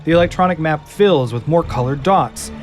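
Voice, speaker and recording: A man's voice: on the high side, normal speed, over music. A man narrating an audio drama in a scripted, dramatic storytelling style.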